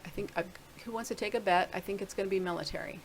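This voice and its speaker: Rambling woman with a gravelly voice. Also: mid-range voice, rapid-fire, slight background noise. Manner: rambling, thinking aloud with many restarts. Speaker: video blogger. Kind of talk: casual screen-share commentary.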